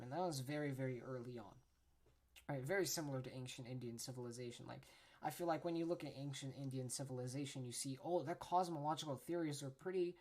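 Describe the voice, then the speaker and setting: Low-voiced woman hosting a chatty podcast. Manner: chatty, tags lines with "right?", frequent self-corrections; apologetic about pronunciations. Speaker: podcast host. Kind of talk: casual educational podcast monologue.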